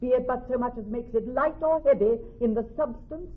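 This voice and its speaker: A woman playing a clever young woman posing as a male judge in classical radio drama, speaking in a measured, formal, authoritative way. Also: on the high side, husky. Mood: stern, commanding. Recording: thin, narrow-band old radio broadcast.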